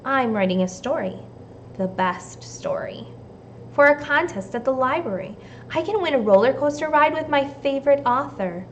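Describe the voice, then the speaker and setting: Storybook kid, played by a female reader: hopeful young writer, excited, raised pitch. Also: moderate pace, lo-fi sound, a little hiss or hum. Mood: eager. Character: eager child writer, hopeful and earnest.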